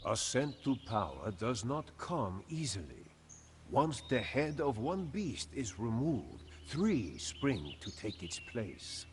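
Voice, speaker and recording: A grave military commander tells his tale as formal game narration. His delivery is slow and deliberate, with wide swings in pitch. Noticeable background noise sits behind the voice. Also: huge pitch swings. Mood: grave, urgent. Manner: grave, measured, formal storytelling. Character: honored old-world Khmer general, solemn, weathered commander. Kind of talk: dramatic scripted game narration.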